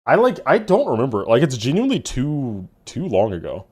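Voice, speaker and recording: Animated young man streaming games. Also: mid-range voice, slightly rough. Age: 20s. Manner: animated, laughing, swearing, quick asides. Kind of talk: casual live-stream chat with chat.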